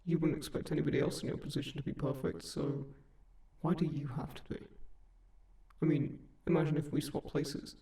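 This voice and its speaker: A man playing a kind sleep paralysis demon in a comfort audio roleplay, speaking gently and reassuringly in an unhurried, gravelly voice. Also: fairly high pitch, flat delivery. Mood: earnest, reassuring. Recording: roomy, echoing, slightly distant voice.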